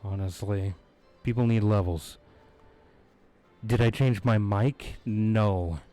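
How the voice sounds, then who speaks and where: A man streaming a video game, hoarse from losing his voice, chatting in a laid-back, rambling way with frequent chuckles, close on his microphone. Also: lowish voice, slow pace, mellow, soft background music. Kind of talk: casual live-stream chat. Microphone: close desk microphone.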